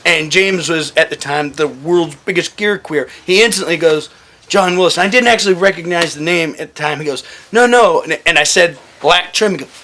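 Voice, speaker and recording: Bright-voiced man telling profane war stories. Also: fairly high pitch, fairly quick. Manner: animated, swearing freely, acting out quoted dialogue. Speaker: military veteran storyteller. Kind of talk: casual storytelling, profane.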